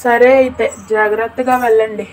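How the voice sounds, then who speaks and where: A cartoon village auntie, voiced by a woman who runs a little food stall. Her voice sits fairly high, and the track has some hiss and clicking. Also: level tone, brisk, natural voice, rough recording. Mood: matter-of-fact. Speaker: voice actor. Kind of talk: animated cartoon dialogue.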